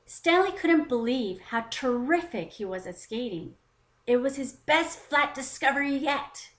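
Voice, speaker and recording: Higher-voiced woman reading to children, roomy sound. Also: lively intonation, a little slow. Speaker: children's story time host and narrator. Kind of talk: expressive children's read-aloud narration. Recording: roomy, echoing voice recording.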